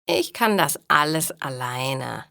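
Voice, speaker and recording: Woman voicing a kind, shrewd elderly grandmother, creaky. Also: deep voice, very animated, slow pace, pushed and bright, no echo, studio quality, pitched below the performer's own voice, exaggerated voice. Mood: frank, encouraging. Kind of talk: storybook dialogue for language learners.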